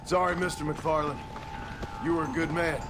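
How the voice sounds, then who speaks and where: A man playing a world-weary cowboy gunslinger, gruff and wry, in a video game cutscene. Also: on the high side, fairly even intonation, normal speed, music in the background. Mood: somber.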